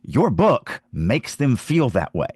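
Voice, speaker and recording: A man's voice: mid-range voice, wildly swooping pitch, takes it slowly, a little mellow, slightly rough, no echo, clean studio sound. A man hosting a podcast and teaching about writing in casual, emphatic, insistent talk. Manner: emphatic, insistent, stresses key words.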